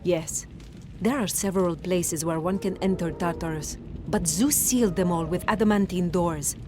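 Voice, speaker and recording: Actress as a low-voiced, solemn warrior priestess. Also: female voice, over music, close to an everyday voice. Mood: solemn storytelling. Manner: grave, measured, formal. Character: solemn, commanding Egyptian warrior priestess of Osiris. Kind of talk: dramatic video game cutscene acting.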